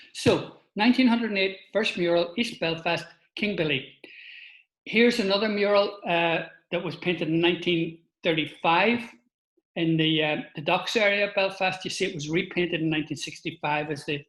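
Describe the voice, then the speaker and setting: A man's voice: on the high side, a bit bright, echoey room, rough recording. An older man from Northern Ireland with a Northern Irish accent, giving an informal talk with a slideshow, chatty, with restarts and asides to his listeners.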